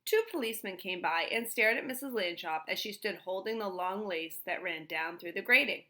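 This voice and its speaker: A woman reading a children's storybook aloud in an expressive style. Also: medium pitch, a little fast. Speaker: storybook reader.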